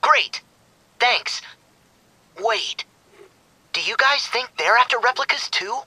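Eager teen hero, bright, husky. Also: male voice, very high-pitched, animated, slow pace, dry recording, heavily acted. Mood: eager, curious. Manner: eager, inquisitive. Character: bright, eager, boyish teenage male hero. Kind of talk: animated video game dialogue. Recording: music under the voice.

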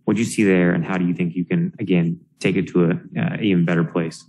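A man with a low, mellow voice asks questions at a press conference. His questions are long and meandering, and he often restarts them midway. He sounds like a working sports journalist. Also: fairly even intonation, quick pace, rough recording. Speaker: sports reporter.

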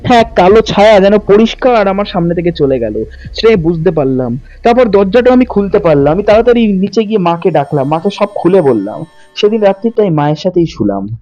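Muffled higher-voiced man telling horror stories. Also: warm tone, dry recording. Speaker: host and narrator of a ghost story channel. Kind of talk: scripted horror story narration. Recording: muffled lo-fi audio with music behind. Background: music bed.

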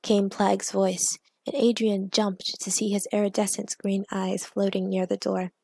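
A woman narrating fiction aloud in an expressive, measured, dramatic storytelling style. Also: medium pitch, creaky, slightly rough, no room sound. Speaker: narrator and author of fan fiction.

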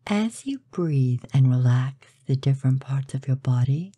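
A woman narrating a scripted guided meditation in a soothing, measured, breathy way, with soft delivery and pauses. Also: a bass voice, lively intonation, dry recording.

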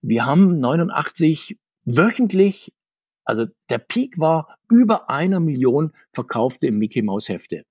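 A man talking conversationally as an interview guest, talkative and often correcting himself, with a husky voice. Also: fairly high pitch, very animated, measured pace, a little mellow, no echo, clean studio sound. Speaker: guest, comics author.

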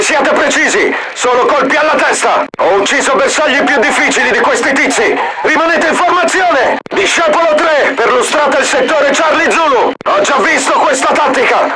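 A man's voice: extremely high pitch, very noisy. An actor playing a male mercenary soldier in a dubbed video game, barking short, clipped combat orders.

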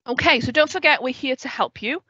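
A woman's voice: high voice, brisk, bright, forceful tone, no echo. A woman in her thirties from West Wales with a Welsh accent, giving an online orientation talk and answering questions, often checking in with "okay?". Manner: clear, friendly explaining, checks in with "okay?". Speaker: student adviser. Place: home room with a window. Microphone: headset. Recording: dry, dull video call audio.

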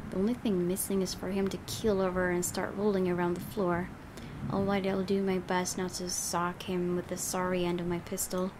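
A woman's voice: on the low side, little pitch movement, a little fast, background noise. A woman hosting a gaming video, reading story narration aloud dramatically with casual reactions. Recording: game music clearly behind. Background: game music.

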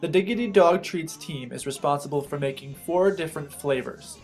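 A man's voice: on the high side, normal speed, darkish tone. A man reading a scripted voice-over in an informative, read-aloud way. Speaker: narrator. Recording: voice-over with faint background guitar.

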